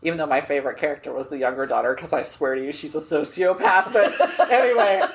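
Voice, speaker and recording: An American woman co-hosting a podcast, chatting casually with expressive, laughing asides and restarts. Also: deep voice, fast. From United States. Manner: expressive, gestures and laughs, trails off mid-thought. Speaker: podcast co-host. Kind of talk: casual chat.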